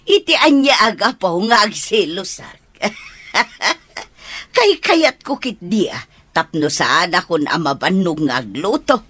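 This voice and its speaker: An actress playing a playful, teasing middle-aged mother with a husky voice in an Ilocano radio comedy drama. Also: on the low side, very animated, unhurried, a bit bright, no room sound, heavily acted.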